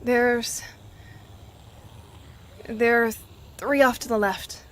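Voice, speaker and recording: Woman acting a slow, halting anxious survivor. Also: a little high, steady tone. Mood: tense. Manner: halting, soft-spoken. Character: anxious, fragile, stubborn apocalypse survivor. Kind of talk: audio drama acting.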